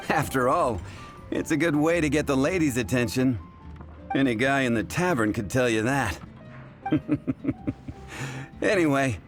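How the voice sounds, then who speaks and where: A man playing a chatty, easygoing tavern bartender in a video game, telling things in a relaxed, wry way. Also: fairly high pitch. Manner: relaxed, wry, conversational storytelling. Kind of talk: video game character acting.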